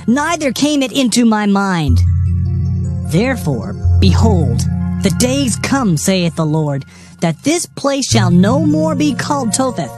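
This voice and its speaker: Music plays under a solemn, declamatory male prophet. He is stern and anguished, pronouncing doom in an oratorical dramatized scripture style. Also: high voice. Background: background music.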